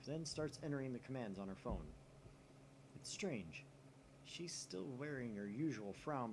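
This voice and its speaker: A man's voice: medium pitch, noisy background. A man reading first-person visual novel narration aloud as a storyteller. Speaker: gaming channel reader and narrator.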